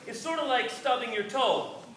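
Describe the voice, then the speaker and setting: A man's voice: extremely high pitch, lively intonation, normal speed, a bit bright. A man voicing an easygoing, joking young male runner in a story read aloud, with a casual, wry, understated manner. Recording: roomy, distant microphone with faint noise.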